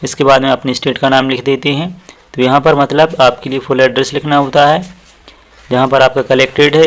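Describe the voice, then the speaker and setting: Indian male tutor explaining step by step. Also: mid-range voice. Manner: explanatory, repeats phrases, slows down for quoted sentences. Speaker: tutorial teacher. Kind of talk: step-by-step lesson, translating phrase by phrase.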